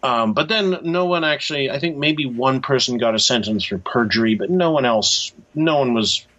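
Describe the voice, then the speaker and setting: A man being interviewed as an author, explaining things conversationally in a thoughtful, measured, somewhat hesitant way. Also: medium pitch, fairly bright tone, dull audio.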